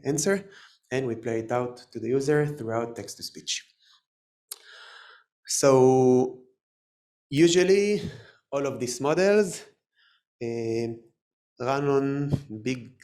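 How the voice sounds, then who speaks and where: A man with an Israeli accent giving a live technical talk, halting and full of fillers and restarts. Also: medium pitch, creaky, darkish tone. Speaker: tech presenter. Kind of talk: live conference talk with demo.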